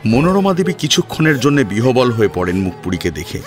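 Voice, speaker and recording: A man's voice: mid-range voice, sing-song, fast talker, faint music behind. A man narrating a dramatic audio story in an emotive, theatrical way.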